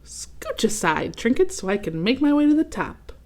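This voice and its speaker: A woman's voice: on the high side, big pitch swings, moderate pace, darkish tone, voice pushed up. A woman voicing a boastful, jazzy male Christmas ornament from a storybook, with a showy, dismissive manner. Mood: haughty.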